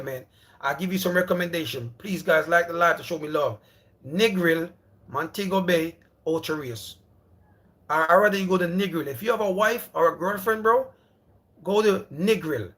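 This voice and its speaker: A man's voice: on the high side, fairly bright tone. A man from Jamaica, in his thirties, rambling casually at home as he hosts a livestream and calls his viewers brother. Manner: loose, rambling, repeats himself, calls out to viewers as brother and bro. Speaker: livestream host.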